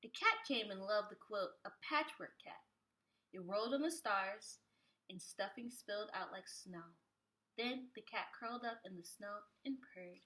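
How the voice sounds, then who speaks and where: A woman reading a picture book aloud to young children like a preschool teacher, with expressive, animated phrasing. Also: mid-range voice, a little slow, low-quality recording.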